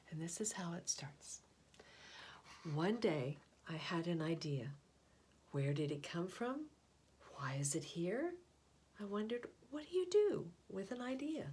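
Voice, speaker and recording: A woman reading a picture book aloud as a storyteller, with deliberate, expressive phrasing and pauses. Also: medium pitch, slight background noise.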